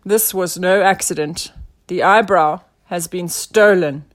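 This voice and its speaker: A woman's voice: lowish voice, measured pace, close to an everyday voice. A woman voicing a pompous, bumbling male animal inspector in a children's mystery story, with blustering exclamations. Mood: grave.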